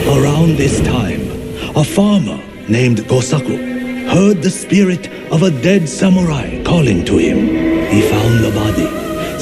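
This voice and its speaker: An actor playing a reverent storyteller who recounts old legends to his lord in a measured, dramatic, solemn manner. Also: fairly high pitch. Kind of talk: scripted legend narration, game voice acting. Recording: music behind the voice. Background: prominent music.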